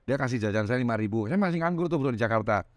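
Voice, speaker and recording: A man in his thirties from Semarang with a Javanese-tinged Indonesian accent, telling his life story as a podcast guest, animated and laughing often. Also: medium pitch, fast, no echo. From Semarang, Central Java, Indonesia. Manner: animated, laughs often, keeps adding "Bro". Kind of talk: casual storytelling interview.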